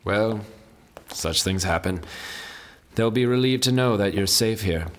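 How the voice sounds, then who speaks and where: Casting: male actor as principled, caring friar, fantasy audio drama, low voice, gentle, deliberate, formal. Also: close to an everyday voice. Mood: gently concerned. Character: kind, concerned, principled friar and loyal friend.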